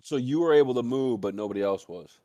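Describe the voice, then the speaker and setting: A man's voice: mid-range voice, fast talker, warm tone. A man interviewing casually on a livestream, asking probing questions and rephrasing them in a rambling way.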